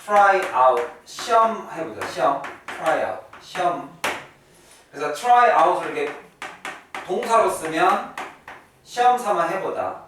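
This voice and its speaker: A male English teacher lectures in a very echoey room, picked up from a distance. His fairly high voice swings up and down as he stresses and repeats key terms, posing questions and answering them himself. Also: expressive, slow. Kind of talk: classroom-style grammar lecture. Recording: echoey room, distant mic, faint noise.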